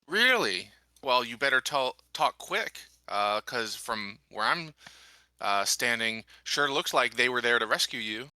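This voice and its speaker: A man's voice: medium pitch, lively intonation, measured pace, pushed and bright, no echo. A man playing a stern, sceptical security interrogator who questions bluntly and sardonically in a tabletop game.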